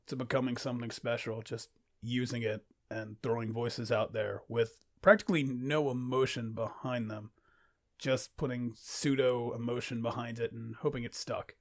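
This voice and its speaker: Young male video creator, reflective casual narration, gravelly edge, self-deprecating delivery. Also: medium pitch. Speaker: amateur voice actor and video creator.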